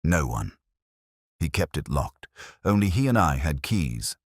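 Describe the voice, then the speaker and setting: A man voicing a guarded, grieving widow with quiet restraint in an audiobook mystery. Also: extremely low pitch, big pitch swings, unhurried, breathy edge, no echo, studio quality, heavily acted. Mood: guarded, subdued. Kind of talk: audiobook character dialogue.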